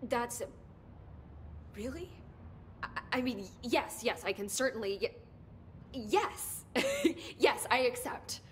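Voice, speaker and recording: An actress playing an earnest, sharp-witted research scientist in a video game, articulate and clinical in her wording. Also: a little high, expressive, slow pace, pauses often, a little hiss or hum. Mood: flustered, thrilled. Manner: articulate, clinical wording, emphatic when stirred. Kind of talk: naturalistic video game acting.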